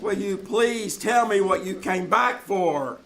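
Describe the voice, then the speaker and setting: A man playing an excitable, exasperated office boss, animated and blustering in an old-time radio comedy. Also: high-pitched, slow, full character voice. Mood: flustered, exasperated. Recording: live theater recording with faint noise.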